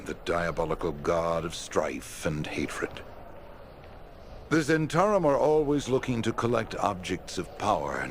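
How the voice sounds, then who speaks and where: A shrewd game patron speaks in a deep, measured voice. He sounds formal and authoritative, over faint background noise. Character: shrewd, composed male quest-giving patron. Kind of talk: video game dialogue acting.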